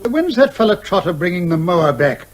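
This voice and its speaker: High-voiced proper English major. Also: male voice, sing-song, a little fast. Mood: mildly irritated. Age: older. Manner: clipped, old-fashioned upper-class politeness. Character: gruff, proper English major, exasperated country landowner father. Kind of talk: radio comedy drama acting.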